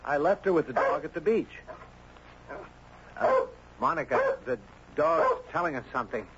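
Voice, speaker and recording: An actor playing a gentle, sympathetic man, earnest and hesitant, in a 1970s radio drama. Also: a little high, very animated, moderate pace. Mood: concerned, urgent. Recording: muffled, very noisy 1970s radio recording.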